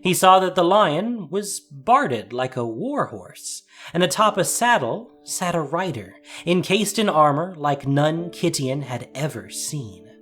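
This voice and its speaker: A man reading a scripted fantasy story as narrator, with measured, deliberate, suspenseful phrasing. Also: fairly high pitch, big pitch swings. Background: soft music.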